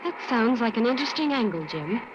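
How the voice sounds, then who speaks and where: A woman's voice: a little high, very animated, fairly quick, noisy background. An actress playing an inquisitive young female assistant in an old radio drama. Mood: curious. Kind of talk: 1940s radio acting. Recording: dull old radio recording.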